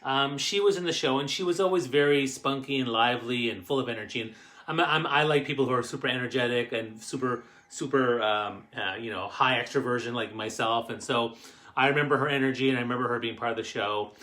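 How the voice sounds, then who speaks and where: A middle-aged man with a Canadian accent, telling personal stories casually to camera in a rambling, halting way. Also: mid-range voice, sharp tone. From Canadian Maritimes. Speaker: host of a family stories show.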